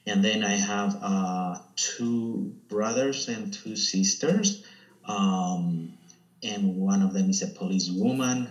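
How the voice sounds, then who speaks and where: A man's voice: on the low side, slow, muffled recording. A man from Spain with a Castilian Spanish accent, answering casually and hesitantly as an interview guest. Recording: echoey, roomy sound.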